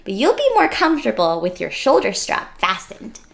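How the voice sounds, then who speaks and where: A woman's voice: mid-range voice, huge pitch swings, normal speed, creaky. A woman voicing a sweet, kind little girl from a storybook, earnest and friendly.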